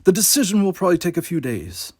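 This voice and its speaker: A man voicing a commanding space station commander in an audiobook, with measured, emphatic speech and tightly controlled anger. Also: high voice, wildly swooping pitch, breathy edge, no echo, studio quality, voice pushed up, exaggerated voice. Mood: composed.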